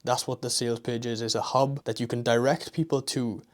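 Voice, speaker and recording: A man's voice: medium pitch, flat delivery, brisk. A young man with a Welsh accent, giving motivational online business advice straight to the viewer, pushy and encouraging. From Wales.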